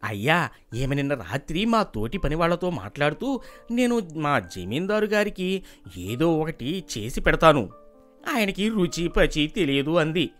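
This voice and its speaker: Man voicing a sly, jealous servant, lively pitch. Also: a little slow, soft background music. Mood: tattling. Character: jealous scheming male servant, sly and spiteful. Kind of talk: Telugu animated moral story dialogue.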